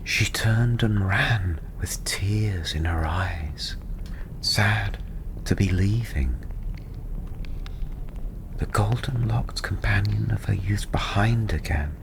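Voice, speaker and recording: A man softly narrating a fantasy story in ASMR style, breathy and often half-whispered, soothing and unhurried. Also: fairly low pitch. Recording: steady ambient background noise.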